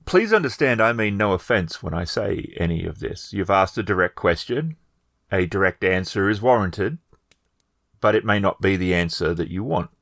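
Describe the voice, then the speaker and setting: A man voicing a plainspoken village man in a feudal fantasy Japan tabletop game, speaking in a measured, formal, halting way. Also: low-pitched, expressive, gravelly, a bit bright, no room sound, clean studio sound, heavily acted. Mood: cautious, polite.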